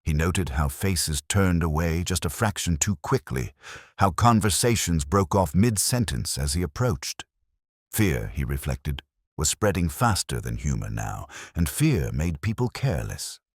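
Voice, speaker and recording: A man narrating a mystery story in a measured, literary manner. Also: deep voice, sing-song, husky, dry recording, clean studio sound. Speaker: audiobook narrator.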